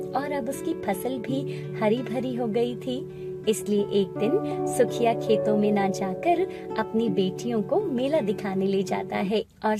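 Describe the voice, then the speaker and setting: A woman narrator reading a scripted moral story for an animation. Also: fairly high pitch.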